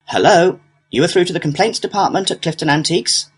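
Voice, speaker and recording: A man's voice: fairly high pitch, a little fast, breathy edge, soft background music. A man playing a courteous complaints clerk, reading scripted customer-service dialogue in a helpful, procedural way. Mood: courteous, businesslike. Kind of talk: scripted listening-test dialogue.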